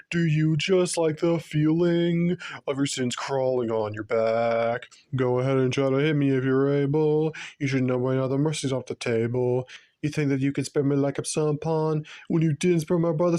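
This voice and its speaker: A man's voice: fairly high pitch, dark tone, close to an everyday voice. A man singing as a vengeful, determined guardian from a video game, in a rhythmic, defiant manner. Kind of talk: sung video game character song.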